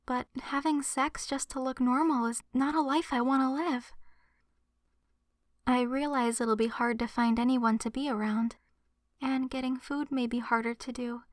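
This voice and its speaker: A high-voiced, shy young succubus played by a woman confides in the listener in an ASMR roleplay. Her delivery is soft, earnest and a little hesitant, with a lovestruck gentleness. The sound is close and very dry, with no room echo. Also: fairly even intonation, moderate pace, natural voice. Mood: earnest, confiding. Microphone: close microphone in a dry space.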